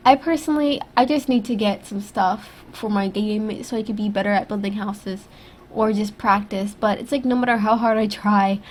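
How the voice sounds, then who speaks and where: A woman's voice: medium pitch, moderate pace, a little hiss or hum. A young woman whose voice sounds stuffy and throaty after being sick, casually commenting over her video in a rambling, self-critical way with lots of fillers. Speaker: YouTube gaming creator. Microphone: USB desktop microphone.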